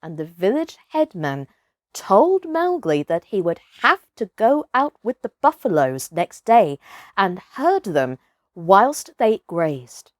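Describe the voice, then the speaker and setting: Woman, expressive children's storyteller, slow pace, animated intonation, dry close recording. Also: mid-range voice, no room sound. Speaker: storyteller, actress.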